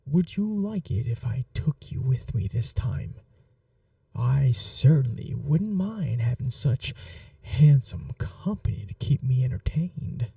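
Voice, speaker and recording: A woman as a dark, whispery vampire mistress. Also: extremely low pitch, very animated, no room sound, distant mic, exaggerated voice. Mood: languid, coaxing. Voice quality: breathy, often dropping to a whisper. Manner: slow, languid, condescendingly affectionate. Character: aristocratic vampire mistress, possessive, teasing, quietly menacing. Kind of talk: intimate ASMR roleplay monologue. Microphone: close microphone, dry.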